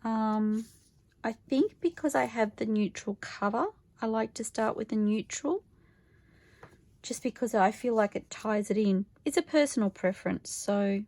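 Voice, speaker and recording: Woman, croaky voice, higher pitch, unhurried, dry close sound, chatty, thinking aloud. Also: darkish tone, no room sound. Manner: chatty, thinks aloud, self-correcting, laughs at herself. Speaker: crafter vlogging her junk journal project. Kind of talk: casual craft-along talk to camera. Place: home craft table, filmed from overhead.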